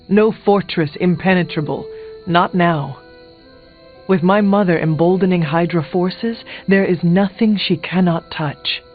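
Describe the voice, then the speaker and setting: A young video game hero with a fairly high voice. He is grave, formal and measured, blunt yet earnest in his counsel. The audio is thin and phone-like, with music underneath. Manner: measured, formal, avoids contractions.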